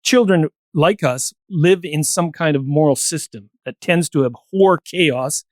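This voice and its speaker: A man's voice: a little high, unhurried, dry recording, clean studio sound. A man speaking as a panel guest, explaining ideas in a discursive, professorial way with examples. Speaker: podcast guest.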